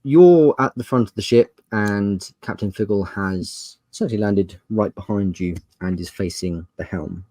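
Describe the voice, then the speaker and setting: A man narrating a tabletop game as game master, using dramatic pauses and emphasis as he describes scenes. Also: on the low side, mellow, no echo.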